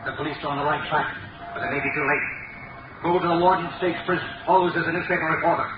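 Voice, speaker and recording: An actor playing a mysterious, mocking male vigilante in a 1930s radio drama, taunting slowly with a sinister laugh. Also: a little high, fairly even intonation, close to an everyday voice. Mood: commanding. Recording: muffled, noisy, echoey 1930s radio recording from a distance.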